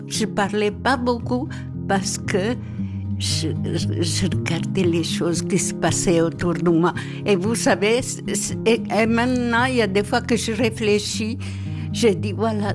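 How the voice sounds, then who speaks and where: A woman from central Italy, older, reminiscing in an interview in a halting, reflective way that repeats words. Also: mid-range voice. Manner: reflective, halting, repeats words as she tells her stories. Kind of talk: reminiscing storytelling. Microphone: handheld mic held by the interviewer.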